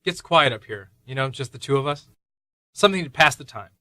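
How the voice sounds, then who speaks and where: A man's voice: mid-range voice, expressive, brisk, bright, forceful tone, no room sound. A man playing Bear, a wise, welcoming husband who writes plays, in an easygoing, rambling manner. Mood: calm, wry. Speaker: cottage host and husband. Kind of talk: amateur radio play.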